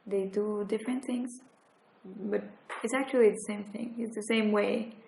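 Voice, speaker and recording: A woman's voice: medium pitch, steady tone, moderate pace, gravelly, mellow, a little hiss or hum. A woman interviewing a guest, asking open questions casually and often saying "like". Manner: casual, searching, leans on "like". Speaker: interviewer, documentary maker. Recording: echoey room.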